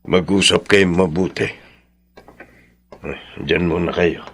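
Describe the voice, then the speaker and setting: A man playing a gentle, wise older father in a Filipino radio drama, counselling earnestly in a measured, consoling way. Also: low voice, steady tone, creaky, a little hiss or hum, heavily acted. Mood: calm.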